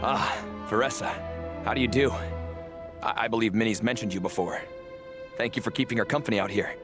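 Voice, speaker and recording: A man's voice: fairly low pitch, a little fast, natural voice. A man acting as a protective, strict but polite middle-aged father in a video game, speaking in a fussy, formal way. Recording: background music under the dialogue.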